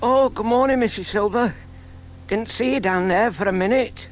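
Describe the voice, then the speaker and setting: An actor playing a shy, lonely retired gentleman, hesitant and wistful, in an audio drama. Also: high voice, moderate pace, close to an everyday voice, poor audio. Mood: polite, longing. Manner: hesitant, wistful, muttering to himself. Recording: faint hiss on old cassette drama.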